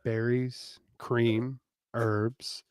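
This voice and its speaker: A man's voice: mid-range voice, flat delivery, drawn-out words, a little mellow, clear, resonant tone, no echo, studio quality. A man narrating a romance story as a scripted dramatic reading.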